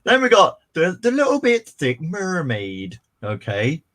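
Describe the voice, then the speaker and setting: A man co-hosting a casual comics livestream, chatty and rambling with bursts of excitement. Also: fairly high pitch, measured pace. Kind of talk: casual chat.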